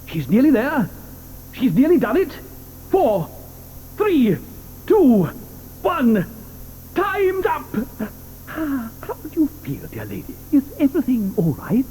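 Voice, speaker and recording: An excitable, eccentric chocolate maker, in a very high, lively voice that leaps around as he exclaims. Warm and mellow in tone, with a faint hum under the old audio drama recording. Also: slow pace, pauses often, breathy edge, exaggerated voice. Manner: excitable, theatrical, whimsical exclamations. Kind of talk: 1980s radio drama acting.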